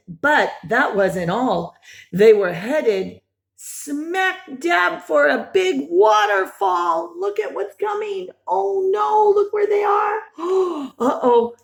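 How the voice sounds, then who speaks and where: A woman reading a picture book aloud to young children in an expressive, animated storytelling style, breaking off for simple questions, gasps and exclamations. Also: sits high, gravelly.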